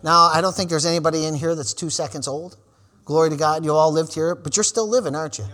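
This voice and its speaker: A man in his forties preaching a sermon, calling out "amen" and "praise the Lord" between lines and building to shouted, repeated refrains. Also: on the high side, fairly even intonation, fast talker. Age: 40s. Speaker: pastor.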